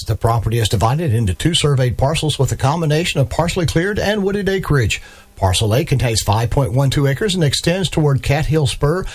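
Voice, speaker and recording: A man reading a scripted radio advertisement for a land auction in a salesy announcer delivery. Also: on the high side.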